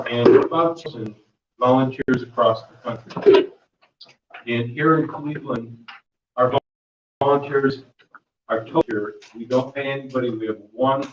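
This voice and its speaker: A man with a booming voice presenting at a community workshop, talking loosely with many fillers and restarts. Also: slight background noise. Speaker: volunteer mentor presenting a workshop. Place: meeting room. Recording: dull, echoey room audio from far off.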